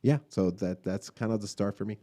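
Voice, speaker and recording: A man from Cuba in his late forties, a restaurant owner telling his story as a guest, relaxed and hesitant with many fillers. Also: lowish voice, a little fast. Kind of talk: interview answers, personal story. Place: podcast room in a restaurant.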